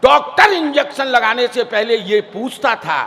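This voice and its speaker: A man's voice: very high voice, expressive, normal speed, creaky, a bit bright, slight background noise. A man performing comic poetry-gathering banter as compere, teasing and declaiming to a live audience. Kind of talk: live stage comedy and introductions to an audience. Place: large event stage before an audience. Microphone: stage microphone. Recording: hall reverb from a stage PA.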